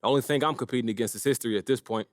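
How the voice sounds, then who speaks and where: A man's voice: medium pitch, racing, no echo, clean studio sound, lightly acted. A young man playing a cocky rookie basketball star in a game's interview scenes, casual and self-assured. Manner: self-assured, laid-back. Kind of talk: casual press interview acting.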